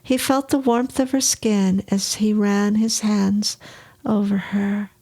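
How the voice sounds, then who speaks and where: A woman's voice: mid-range voice, fairly even intonation, takes it slowly, dark tone. A woman narrating a short story from a script.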